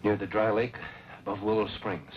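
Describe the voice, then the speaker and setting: A man acting as a dazed, weary injured lawman in an old Western drama, speaking haltingly but earnestly. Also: lowish voice, flat delivery, lightly acted. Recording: dull, noisy old radio recording.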